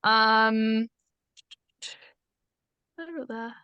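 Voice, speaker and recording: A woman's voice: on the high side, monotone, clear, resonant tone, dry recording, dull audio. A woman giving informal, hesitant feedback as one of a panel. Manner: hesitant, halting. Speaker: script reading panellist.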